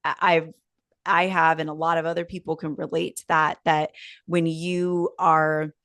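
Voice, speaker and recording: A woman's voice: low voice, dry recording, clean studio sound. A woman hosting an earnest, casual conversation about faith, asking her guest questions. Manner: earnest, warm affirmations, speaks of faith openly. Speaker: host.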